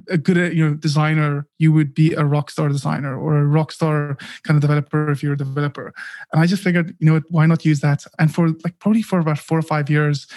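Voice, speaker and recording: A man explains his ideas in a measured, somewhat monotone way. His voice sits a little high for a man, and he often circles back and restarts his sentences as he thinks aloud. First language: Afrikaans. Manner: thoughtful, many fillers and restarts. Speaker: guest, startup founder and author. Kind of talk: casual podcast interview.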